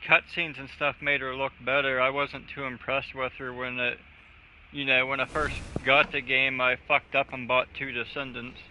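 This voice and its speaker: A man's voice: mid-range voice, level tone, normal speed, sharp tone, slight background noise. A man streaming a video game with a friend, talking casually with lots of swearing and jokes. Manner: laid-back, profane, joking. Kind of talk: casual livestream chat. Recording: slightly dull audio with game music.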